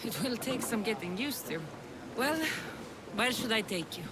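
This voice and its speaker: An actress playing a bold young mercenary leader in a video game cutscene. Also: female voice, on the high side, animated, moderate pace, noisy background, natural voice. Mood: resolute. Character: bold young adult Greek mercenary woman, seafaring leader.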